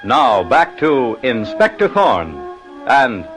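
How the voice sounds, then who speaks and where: A man's voice: medium pitch, big pitch swings, slow pace, over loud music. An American man working as a polished, formal radio announcer and narrator in a scripted 1950s style.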